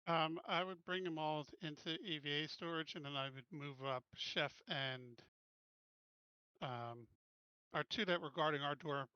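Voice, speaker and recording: A man's voice: a little high, a little slow, pushed and bright, smooth, dry recording, dull audio. A man talking casually as a player in a tabletop game.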